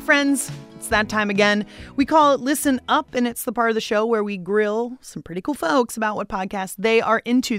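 A woman hosting a radio show in a chatty, casual way, using slang and talking straight to listeners. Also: medium pitch.

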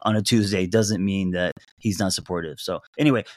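Man, earnest, halting restarts, dry close mic. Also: deep voice, steady tone, no room sound. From came to the US at three. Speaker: podcast host. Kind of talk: casual interview chat.

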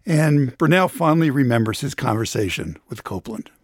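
A man's voice: medium pitch, animated, moderate pace, husky, dry recording, clean studio sound. An American man telling stories as a radio contributor in conversational reporting style.

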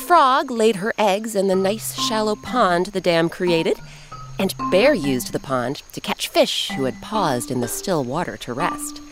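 A woman narrating a children's folktale as an expressive storyteller and host who addresses the listener. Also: medium pitch, unhurried, music in the background. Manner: animated, audience-addressing storyteller.

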